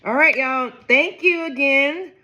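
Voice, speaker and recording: A man from Ohio chatting casually to camera in a gardening vlog, rambling and low on energy, often breaking into excited exclamations. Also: measured pace. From Ohio, USA. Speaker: gardening vlogger.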